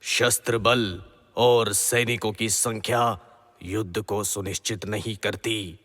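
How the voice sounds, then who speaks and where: A man acting a grave, righteous middle-aged king and father in a mythological audio drama, speaking in formal, measured pronouncements. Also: lowish voice, little pitch movement, a bit bright. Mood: solemn, resolute. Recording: long hall-like reverb.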